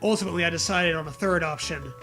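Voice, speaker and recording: A man's voice: fairly high pitch, quick pace, sharp tone, soft background music. A man reading a story aloud in a comedic way, with mocking, profane casual riffing.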